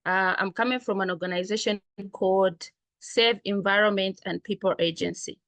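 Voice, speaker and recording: A woman's voice: medium pitch, steady tone, normal speed, a bit bright, no room sound. A woman from Zambia facilitating an online training session, engaging the group with questions. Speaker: workshop facilitator. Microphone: video call.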